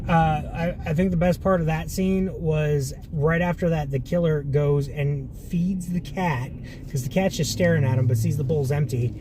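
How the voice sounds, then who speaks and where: A man's voice: fairly high pitch, fairly even intonation, moderate pace. A man from Massachusetts giving a casual, rambling spoken film review from inside a car, often restarting his sentences. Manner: rambling, conversational, frequent restarts. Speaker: movie reviewer. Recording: loud car road noise. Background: car engine and road noise.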